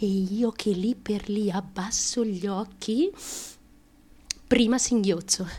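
A woman's voice: mid-range voice, takes it slowly. An actress playing an unstable, anguished young woman who craves fame. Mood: hushed, wounded. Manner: volatile, swinging between hushed confiding and wailing. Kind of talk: theatrical stream-of-consciousness radio monologue.

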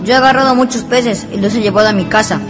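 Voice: mid-range voice, fast talker, close to an everyday voice. An actor playing a boastful, entitled rich schoolboy who contradicts others, in a radio drama. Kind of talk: Spanish-language radio drama acting.

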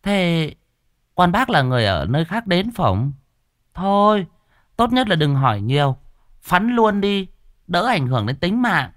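A man voicing a sharp-tongued, gossipy village woman who talks curtly and dismissively. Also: high-pitched, big pitch swings, a little slow, dry recording, studio quality, pitched above the performer's own voice, exaggerated voice. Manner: curt, dismissive.

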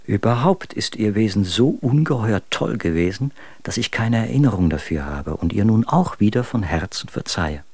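Bitter, world-weary husband, low voice. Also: male voice, lively intonation, moderate pace, hardly any pauses, a little mellow, husky, no room sound. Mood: wry, resigned. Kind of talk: radio drama reading of letters.

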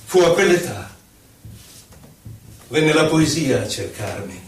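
A man's voice: on the high side, sing-song, takes it slowly. A man giving a solemn, theatrical poetry recital for radio, stretching out his phrases dramatically. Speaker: poetry performer. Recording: echoey distant recital under loud music. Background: loud music.

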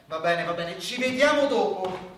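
A man's voice: high-pitched, animated, moderate pace, fairly bright tone. A man playing a bossy, suspicious broadcasting president who repeats himself insistently in an Italian radio drama. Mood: pressing, suspicious. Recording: very echoey, distant, clearly noisy room.

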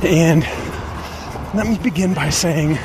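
A man in his fifties hosting a personal podcast monologue, speaking deliberately in short phrases with pauses. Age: 50s. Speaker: podcast host. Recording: noisy outdoor recording with traffic rumble.

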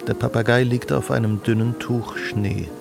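A man narrating a radio drama in a measured, literary storytelling manner. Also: mid-range voice, steady tone, vocal fry, music in the background.

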